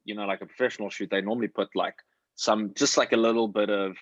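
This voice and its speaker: A man with a fairly low voice talks casually among colleagues. He rambles and stops and starts, working out his advice as he goes. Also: steady tone, no echo, lo-fi sound. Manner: rambling, halting, thinks aloud. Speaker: actor giving career tips. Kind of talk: casual chat.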